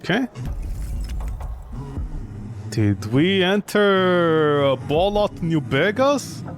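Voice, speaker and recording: Animated, higher-pitched man doing game commentary. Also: very slow. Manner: sarcastic, jokey. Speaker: gamer commentating on a horror game playthrough. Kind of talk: casual joking let's play commentary.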